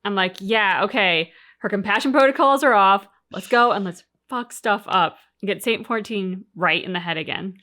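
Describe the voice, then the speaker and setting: Bright-voiced enthusiastic woman chatting casually. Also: mid-range voice, normal speed, few pauses. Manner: enthusiastic, informal, peppered with "like". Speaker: reaction channel co-host. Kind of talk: casual reaction chat about a video game.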